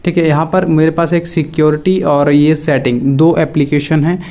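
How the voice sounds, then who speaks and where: A man's voice: fairly high pitch, little pitch movement, moderate pace, darkish tone. A man presenting a casual step-by-step phone app tutorial, addressing viewers directly and often repeating his instructions.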